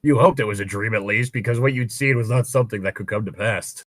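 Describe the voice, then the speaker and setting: A man's voice: fairly low pitch, quick pace, fairly bright tone, no room sound. A man reading a story aloud as a co-host, slipping into casual, sarcastic, mock-exasperated asides. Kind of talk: read-aloud narration with casual joking commentary.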